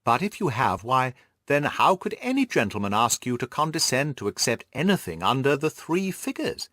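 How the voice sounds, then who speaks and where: This is a stout, middle-aged gentleman from a classic storybook , acted out by a male reader. He is effusively genial and exclamatory, repeating himself, with a voice that leaps up and down in a dry, close recording. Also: medium pitch, sing-song, moderate pace, no room sound. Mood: blustering, flattering. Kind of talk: audiobook character voice.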